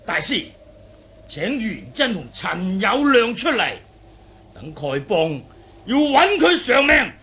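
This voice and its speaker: A man's voice: high-pitched, sing-song, slow, bright, forceful tone, a little hiss or hum, heavily acted. A man with a Cantonese accent playing a fiery, combative beggar clan chief, belligerent and exclamatory, in a martial arts radio drama. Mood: vengeful.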